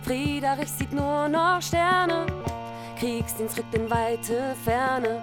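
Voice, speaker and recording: Casting: playful rhyme narrator, high voice, slow declaiming sing-song over music. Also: female voice, fairly even intonation. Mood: playful, mock-epic. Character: playful female balladeer telling comic rhymes. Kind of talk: rhymed narration in radio play.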